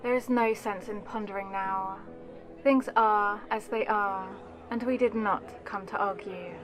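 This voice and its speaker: A woman's voice: mid-range voice, steady tone, measured pace, soft background music, natural voice. A woman playing an aristocratic elder vampire in an ASMR audio roleplay, composed and commanding, with formal, condescending poise. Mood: calm, chiding.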